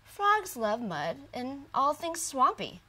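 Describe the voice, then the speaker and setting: A woman voicing a neat, polite young male pig in a children's storybook, gentle and a little hesitant. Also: sits high, big pitch swings, slow pace, voice pushed up, exaggerated voice. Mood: matter-of-fact.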